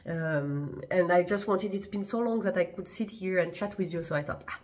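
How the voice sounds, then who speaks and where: A woman's voice: on the low side, moderate pace, darkish tone. Chatty woman with a stuffy cold voice. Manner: chatty and hesitant, many fillers and restarts. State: congested, blocked voice from a cold. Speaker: fashion vlogger. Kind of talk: casual chat to camera. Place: living room at home, indoors.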